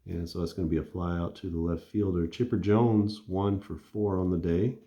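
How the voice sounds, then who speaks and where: Low-voiced man calling a dice baseball game. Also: normal speed, roomy sound, lo-fi sound. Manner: relaxed and conversational, thinking aloud while rolling. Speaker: tabletop game player narrating a replay.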